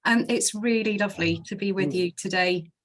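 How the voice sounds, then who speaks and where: A woman's voice: medium pitch, moderate pace, a bit bright, low-quality recording. Earnest woman from South Wales, chatting. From Swansea, South Wales. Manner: earnest, chatty, thinks aloud. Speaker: guest speaker from a conservation charity. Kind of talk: conversational talk to a church group.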